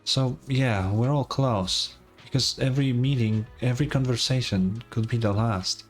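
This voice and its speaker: A man's voice: mid-range voice, normal speed, breathy edge, soft background music, lightly acted. Man acting as a shy, stammering sick boy. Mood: somber. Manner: hesitant, stammering. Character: shy, insecure sick boy, easily flustered.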